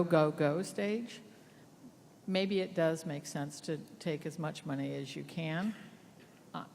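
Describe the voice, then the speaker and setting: A woman's voice: low voice, vocal fry, a little hiss or hum. A woman investor and entrepreneur giving direct advice on a panel. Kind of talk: panel discussion. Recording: reverberant hall with faint ambience.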